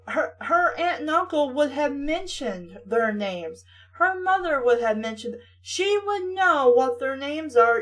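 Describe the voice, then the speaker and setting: A woman's voice: high voice, a bit bright, recorded from a distance. A woman reading a story aloud and breaking off into sarcastic, sweary, exasperated casual commentary. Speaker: reader and commentator.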